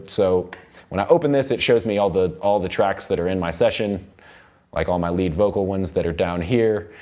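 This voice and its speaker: An American man in his thirties giving a casual, step-by-step software tutorial as an audio production instructor, informally thinking aloud. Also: low-pitched. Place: recording studio control room.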